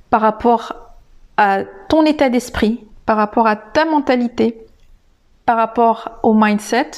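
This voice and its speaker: A woman hosting a podcast lesson, talking to the listener informally and pausing often with filler sounds. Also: fairly high pitch, darkish tone. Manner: hesitant, many filler sounds and restarts; addresses the listener directly and informally. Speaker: English teacher and podcast host.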